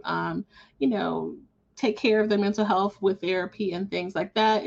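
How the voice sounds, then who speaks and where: Measured woman on dull lo-fi audio. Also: mid-range voice. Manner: measured, many fillers. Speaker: podcast host. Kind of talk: casual discussion.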